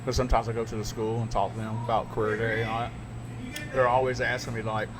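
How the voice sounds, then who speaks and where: A man's voice: mid-range voice, level tone, quick pace, background noise. A man hosting a casual podcast chat, telling stories candidly and emphatically, with plenty of restarts. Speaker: podcast host and radio broadcaster. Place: radio studio.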